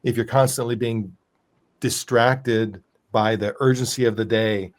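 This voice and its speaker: Male host, conversational, long-winded setups, restarts, middle pitch. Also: fairly even intonation, measured pace. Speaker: podcast host. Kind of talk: interview host chat.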